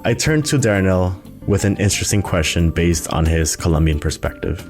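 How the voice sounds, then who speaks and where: A man's voice: sits low, fairly quick, darkish tone, faint music behind. A young man from Nicaragua hosting a podcast, introducing it and putting questions to his guest. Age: young adult. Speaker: podcast host and interviewer.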